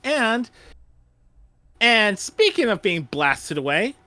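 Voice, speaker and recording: A man's voice: sits high, big pitch swings, takes it slowly, pushed and bright, dry recording. A man hosting a casual chat, stammering and repeating words as he explains. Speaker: audio drama creator and host.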